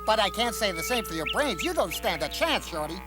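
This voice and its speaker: A cocky cartoon hare, with a fairly high voice that swings up and down in smug, mocking taunts. Every phrase is a boastful tease, and music plays clearly underneath. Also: female voice. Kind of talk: animated cartoon voice acting. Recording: music behind the voice.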